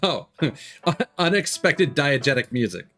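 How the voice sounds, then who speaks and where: A man's voice: medium pitch, animated, measured pace, a bit bright, soft background music. Wry man live-streaming a game. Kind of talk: live game commentary, casual.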